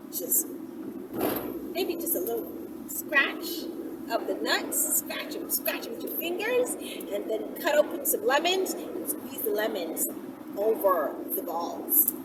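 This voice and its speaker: A woman vlogger chatting casually to her viewers in an animated, giggly, playful way as she answers their questions. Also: a little high, distant mic. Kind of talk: casual vlog chat to viewers. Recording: loud ambient vehicle noise, off the mic.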